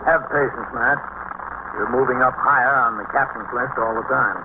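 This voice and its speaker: A composed, wry senior cop played by a man speaks at a middling pitch in vintage radio drama style. The sound is muffled and buried in heavy noise. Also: normal speed, natural voice. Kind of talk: 1950s radio drama acting and narration. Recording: muffled old radio recording, loud noise.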